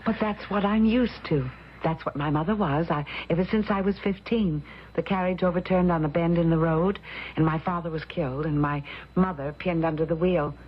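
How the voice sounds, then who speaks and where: A woman's voice: lowish voice, moderate pace, close to an everyday voice. An actress in a radio drama playing an earnest, determined, polite young woman who wants work as a caregiver. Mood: earnest, pleading. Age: young adult. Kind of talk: old-time radio drama acting. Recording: muffled old radio recording, faint noise.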